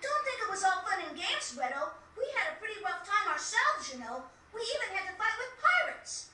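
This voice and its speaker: A woman voicing an excitable, kind-hearted young boy in a fantasy video game, chatty and earnest. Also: extremely high pitch, a little fast, heavily acted. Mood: chatty, boastful. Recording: echoey, distant game capture with noise.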